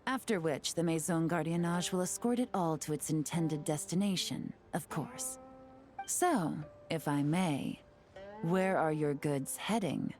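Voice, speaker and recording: A woman's voice: sits low, expressive, a little mellow. A woman playing a cool, confident and shrewd intelligence agent in a game, speaking in a composed, assured way. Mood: smoothly reassuring. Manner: composed, assured, measured explaining. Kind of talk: game character dialogue. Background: faint music.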